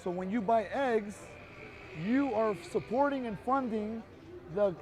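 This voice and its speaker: A man's voice: sits high, measured pace, low-quality recording. Earnest man lecturing on a city street. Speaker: street activist. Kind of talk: persuasive street conversation.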